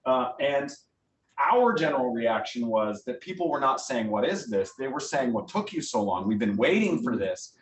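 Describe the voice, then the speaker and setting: A man answering a group's questions in a conversational, enthusiastic way, as a guest theater director. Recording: roomy, distant mic, faint rumbling noise.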